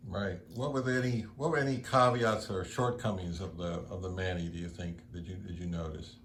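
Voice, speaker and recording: A man's voice: low voice, fairly even intonation, brisk, fairly bright tone, a little hiss or hum. A man speaking as the host of an audio review, interviewing and narrating.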